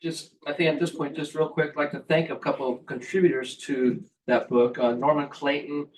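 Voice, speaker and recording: Flat-voiced host heard from far off. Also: male voice, a little high, fairly quick, slight background noise. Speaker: event host. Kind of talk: moderating a book event.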